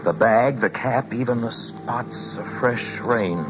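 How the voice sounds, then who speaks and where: An actor playing a driver who recounts a troubling journey in measured narration that slips into nervous stammers, in a radio play. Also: male voice, mid-range voice, close to an everyday voice. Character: uneasy male driver recounting a trip. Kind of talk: 1940s radio drama narration. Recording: dull lo-fi with music behind.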